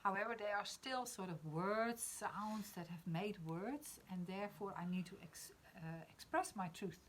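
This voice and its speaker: A woman in her fifties with a Dutch accent, reflecting in a spiritual conversation, often pausing to search for words. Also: mid-range voice, animated, a bit bright, a little hiss or hum. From Netherlands. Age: 50s. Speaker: discussion participant.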